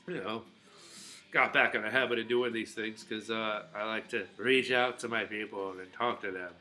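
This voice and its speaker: A man's voice: on the low side, steady tone, moderate pace, bright, forceful tone. A middle-aged man rambling casually to his viewers, loose and full of filler words and restarts. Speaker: vlogger.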